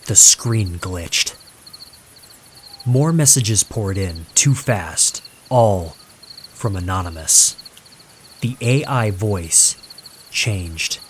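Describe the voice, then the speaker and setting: Slow, low-voiced man narrating horror. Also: a little hiss or hum. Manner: dramatic, suspenseful, deliberate pauses. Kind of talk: scripted creepypasta narration.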